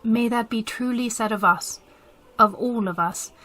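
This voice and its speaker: A woman's voice: on the high side, unhurried, a bit bright. A woman narrating a radio drama as a scripted storyteller, with emphatic, theatrical phrasing.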